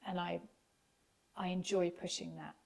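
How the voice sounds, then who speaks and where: A woman guest on a podcast, speaking English as her first language, talking thoughtfully with many pauses and fillers. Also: on the low side, flat delivery, creaky. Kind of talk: reflective casual conversation.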